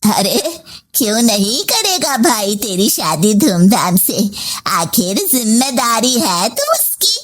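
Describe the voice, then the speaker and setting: A woman's voice: on the high side, very animated, takes it slowly, heavily acted. A woman voicing a domineering, demanding older mother-in-law in a story, scolding and complaining. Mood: bossy. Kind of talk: story voice acting.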